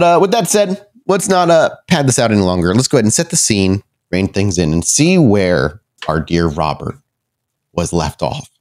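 A man hosting and narrating a tabletop roleplaying game, often halting and correcting himself as he goes. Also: low-pitched. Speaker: podcast host and game storyteller.

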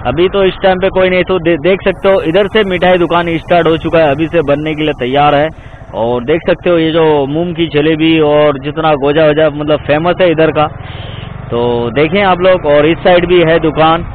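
A man's voice: a little high, steady tone, moderate pace, slight background noise. A young man chatting casually while riding a motorcycle outdoors, keeps saying "guys" and asks viewers for support. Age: young adult. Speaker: motovlogger. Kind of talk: casual ride commentary. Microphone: action camera on the bike. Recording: tinny phone-like sound with music behind.

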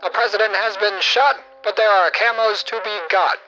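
A man's voice: high voice, steady tone, normal speed, sharp tone, muffled recording, pitched above the performer's own voice. A man voicing a folksy old-time male radio announcer through an old radio filter, with music behind.

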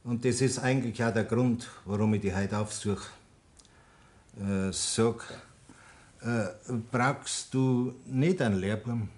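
A man's voice: mid-range voice, takes it slowly, a little mellow, lightly acted. Actor as an older drawling Bavarian grandfather. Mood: coaxing. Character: persistent grandfather near retirement, an old friend. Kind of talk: 1960s German radio play acting.

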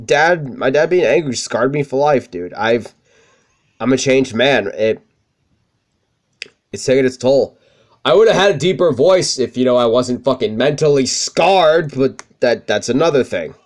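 A young man with a high-pitched voice, swearing freely as he tells rambling stories over gameplay. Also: expressive, unhurried. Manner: profane, rambling, self-deprecating. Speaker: let's play commentator. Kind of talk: casual gameplay commentary with storytelling.

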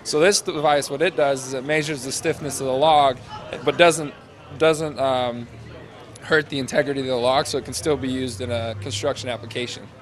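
A man in his twenties, a student interviewee, explaining a project off the cuff in casual, halting phrases into a handheld mic. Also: medium pitch, steady tone. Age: 20s. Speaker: interviewee, senior engineering student presenting a project. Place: large glass-walled university hall or lobby. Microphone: handheld interview microphone held out to him.

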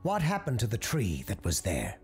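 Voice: mid-range voice, wildly swooping pitch, moderate pace, soft background music, pitched above the performer's own voice. A performer voicing a stern, commanding old woman of magical power in an audio storybook, curt and scolding.

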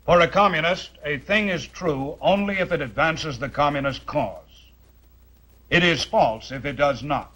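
A man giving a measured, instructive scripted presentation in a 1950s military film. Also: fairly high pitch, a bit bright, low-quality recording.

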